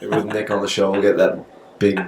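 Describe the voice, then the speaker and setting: Low-voiced dry young man. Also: completely flat delivery, normal speed, creaky, a little mellow, a little hiss or hum. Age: 20s. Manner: dry, hesitant with fillers. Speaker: podcast co-host. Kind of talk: casual chat, reading topic rundown. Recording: echoey room.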